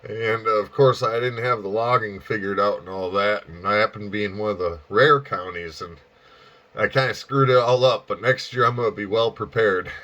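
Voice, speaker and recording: A man making casual amateur radio small talk in a halting, folksy way, with a dull, muffled sound. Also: lowish voice, fairly even intonation, bright, forceful tone, slight background noise. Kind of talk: casual radio contact chat.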